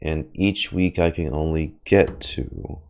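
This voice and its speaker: A man's voice: extremely low pitch, slow, vocal fry, darkish tone. A man in his twenties giving casual streamer reactions to a game, rambling and slangy with some swearing. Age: 20s.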